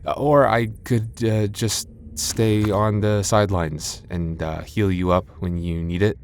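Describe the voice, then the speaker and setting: Low-voiced, flustered knight, acted by a man. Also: steady tone, a little slow, slight background noise. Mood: flustered, eager. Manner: stammering, self-deprecating, nervous. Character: flustered, soft-hearted, timid young male knight, smitten. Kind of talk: ASMR fantasy roleplay.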